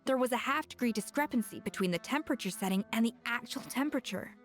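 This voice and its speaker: A brilliant, exacting female chef from a video game. Her voice sits fairly high, and she talks bluntly, correcting and teasing in a matter-of-fact way. Soft music plays underneath. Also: animated, quick pace.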